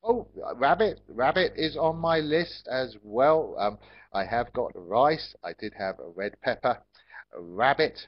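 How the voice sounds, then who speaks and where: A man with an English accent teaching an online class in a measured, polite and encouraging way with simple wording. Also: medium pitch, animated, no echo. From England. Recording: dull, lo-fi online call audio.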